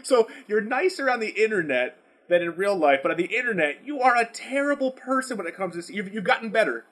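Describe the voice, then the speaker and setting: A slightly tipsy man hosting a podcast, chatting casually and asking questions in an animated, incredulous way, swearing freely. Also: high-pitched, a little fast. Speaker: podcast host.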